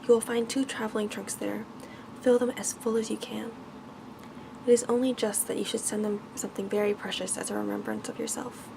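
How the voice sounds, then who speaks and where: A stern but courteous beast lord of a storybook palace, read out by a woman, speaks in calm, formal commands. The voice is soft and creaky, with noticeable noise in the recording. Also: mid-range voice, fairly quick, close to an everyday voice. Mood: calm, commanding. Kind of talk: bedtime storybook reading.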